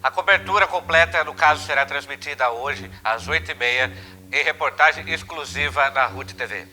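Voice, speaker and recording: A man's voice: mid-range voice, normal speed, background noise, lightly acted. A man playing a serious television news anchor, reading formal, declarative bulletins in a mock newscast. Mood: solemn.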